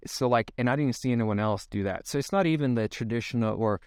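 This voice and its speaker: A man's voice: mid-range voice, fast talker, darkish tone, clear, resonant tone, dry recording, clean studio sound. A man in his thirties from Sweden with a Swedish accent, speaking English, reflecting as a podcast guest with many fillers and restarts. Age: 30s. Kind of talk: casual podcast interview.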